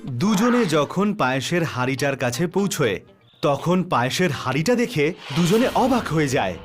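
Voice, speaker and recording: A man's voice: fairly high pitch, very animated, normal speed, music in the background. A man narrating a scripted children's story as the storyteller.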